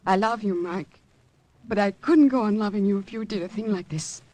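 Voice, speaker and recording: A woman playing an anxious, principled sweetheart with a husky voice in a 1940s radio melodrama. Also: mid-range voice, moderate pace, warm tone, no room sound, natural voice. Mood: earnest pleading. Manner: pleading, emotional.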